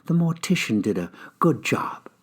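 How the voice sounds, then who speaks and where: A male reader plays a pious church lady in an audiobook story. The voice is lifted in pitch, soft-mannered and soothing, offering comfort to the bereaved. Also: sing-song, moderate pace. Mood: consoling. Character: plump, pious lady, gently consoling mourner.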